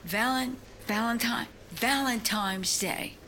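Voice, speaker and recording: A woman's voice: a little high, slow, pushed and bright, a little hiss or hum. A woman voicing a cheeky little schoolgirl in a radio play, with a playful, teasing manner.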